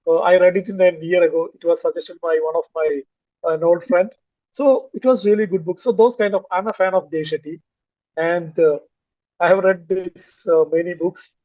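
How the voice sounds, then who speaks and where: A man from Kerala with a Malayali Indian English accent, talking haltingly with many fillers and restarts about learning English. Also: high-pitched, fairly even intonation, no room sound, dull audio. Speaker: English learner, finance manager. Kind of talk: casual conversation on a video call.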